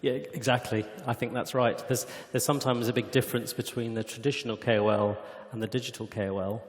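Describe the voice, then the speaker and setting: A man's voice: medium pitch, fairly even intonation, fast talker. A man speaking as an executive at a conference panel, explaining things in an articulate way. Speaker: company executive presenting at a conference. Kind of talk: conference presentation and panel discussion. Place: conference hall stage. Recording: hall reverb from conference stage.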